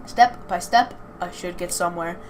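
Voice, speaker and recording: A woman with a slightly low voice reads visual novel text aloud for a let's play. She trips over words, repeats phrases and drops in casual remarks, with light noise on the recording. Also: normal speed. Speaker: video host playing a visual novel.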